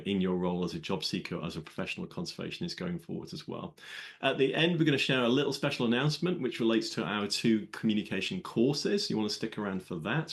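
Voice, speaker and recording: A man hosting a live webinar in an upbeat, chatty, welcoming way. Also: lowish voice. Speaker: webinar host.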